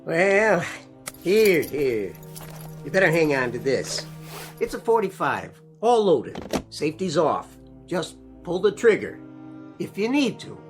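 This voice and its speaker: A man's voice: fairly high pitch, very animated, slow. An actor playing a blunt, grim policeman giving warnings in a 1960s television drama. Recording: vintage TV soundtrack with music behind.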